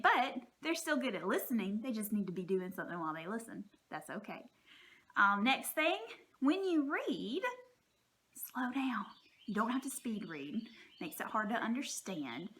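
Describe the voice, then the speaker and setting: A woman's voice: a little high, sharp tone. A woman speaking as a teacher, giving informal, encouraging and reassuring advice to parents in a plain-spoken, folksy way.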